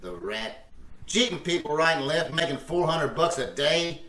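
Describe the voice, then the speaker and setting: A man's voice: on the high side, animated, moderate pace, sharp tone, slight background noise. A man playing a blustery, indignant, scheming husband in old-time radio comedy, exclaiming and stumbling over his words. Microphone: video call. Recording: roomy, distant home video-call sound.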